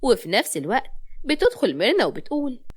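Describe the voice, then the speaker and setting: Female storyteller, Egyptian accent, brisk and animated scripted narration of romantic tales. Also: on the high side, slightly rough. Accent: Egyptian Arabic.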